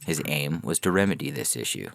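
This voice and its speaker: A man's voice: very deep voice, very animated, fairly quick, creaky, dry recording, soft background music. A man narrating a scripted science fiction story.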